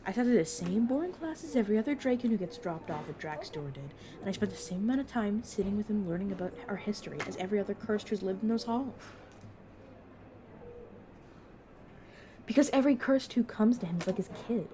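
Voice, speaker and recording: A woman playing a brisk, protective vampire mentor in an audio roleplay, talking casually and teasingly to a new fledgling. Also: medium pitch, animated, background noise, natural voice. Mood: reassuring, wry. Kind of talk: narrative audio roleplay, addressed to the listener.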